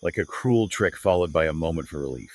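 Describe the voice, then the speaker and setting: Male co-host, deep and lively, emphatic and dramatic, conversational book-discussion style. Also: brisk. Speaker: podcast co-host.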